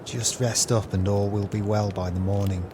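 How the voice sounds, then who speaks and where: A man's voice: lowish voice, flat delivery, moderate pace, background noise, lightly acted. An actor playing a cold, contemptuous, scheming male swindler in an audio play. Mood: falsely soothing. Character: callous, scheming male swindler, feigning kindness to dying sailor.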